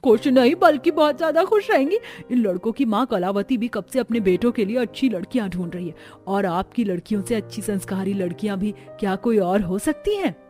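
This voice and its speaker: A woman's voice: mid-range voice, animated, fairly quick, hardly any pauses, a little mellow, faint music behind, close to an everyday voice. A woman voicing an assured, persuasive middle-aged matchmaker in a cartoon family story. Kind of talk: Hindi cartoon dubbing.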